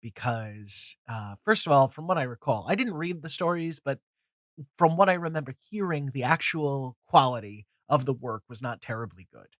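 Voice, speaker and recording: A man's voice: mid-range voice, lively intonation, normal speed, fairly bright tone, dry recording. A man in his twenties with a cold and a nasal voice, rambling casually through his opinions with many fillers, restarts and casual swearing. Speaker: comics video host.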